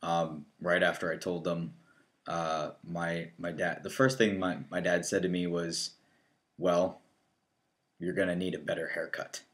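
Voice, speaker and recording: A young man in his early twenties talks plainly with a dry, wry touch. His voice sits low as he co-hosts a casual chat. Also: flat delivery, unhurried, fairly bright tone. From northeastern Ohio. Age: 20s. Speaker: co-host.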